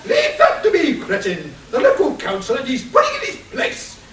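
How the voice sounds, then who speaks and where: A man's voice: very high voice, huge pitch swings, moderate pace, fairly bright tone, exaggerated voice. A man playing a dim, imperious British titled lady with a haughty, exclamatory manner in a comic radio play. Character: titled upper-class woman, dim, imperious, breezily callous amateur sleuth. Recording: distant mic, roomy echo, faint hiss.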